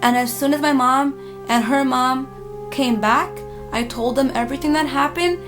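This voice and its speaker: A woman telling a spooky personal story casually, in a dramatic, emphatic manner with pauses. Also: high voice. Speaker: storytime vlogger. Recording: music playing behind the voice. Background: background music.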